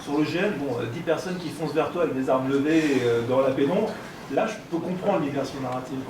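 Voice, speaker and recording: Guy with a slightly high voice picking apart ideas, halting but academic, distant mic, noisy. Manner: hesitant phrasing, articulate, academic vocabulary. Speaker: discussion participant, longtime game observer. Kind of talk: critical, analytical discussion. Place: convention room. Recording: echoey room, distant mic, background noise.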